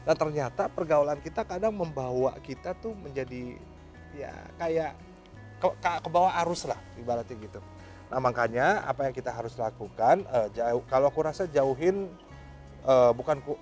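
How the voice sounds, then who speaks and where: A man speaks as a pastor giving friendly-format advice in a conversational way. His pitch sits in the middle and his wording is informal, sprinkled with English. Faint music plays behind him. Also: lively intonation, moderate pace, a little mellow. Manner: informal, mixes English words into speech. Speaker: pastor answering questions.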